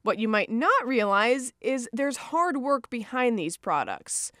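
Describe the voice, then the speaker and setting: A woman's voice: fairly high pitch, sing-song, fairly bright tone, dry recording, studio quality. A young woman reporting a TV feature from an outdoor farmers market, bubbly and upbeat. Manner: bubbly, upbeat, quick reactions. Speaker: field reporter.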